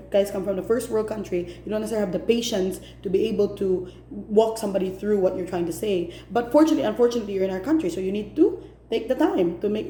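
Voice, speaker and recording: A fed-up woman rants to camera, stressing and repeating her points. Her voice is mellow and sits in a middle range. The room is roomy and echoey, with a faint hiss underneath. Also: medium pitch. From Philippines, Cebu City. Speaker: vlogger. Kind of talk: direct-to-camera opinion rant.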